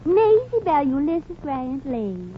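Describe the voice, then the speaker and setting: Cooing Southern belle, honey-tongued, high swooping pitch, comic radio acting, muffled vintage sound. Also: female voice, very animated, slow, heavily acted. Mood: gushing, syrupy. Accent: American South. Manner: cooing, syrupy, exaggerated. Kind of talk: 1940s radio comedy acting. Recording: muffled, hissy 1940s radio recording.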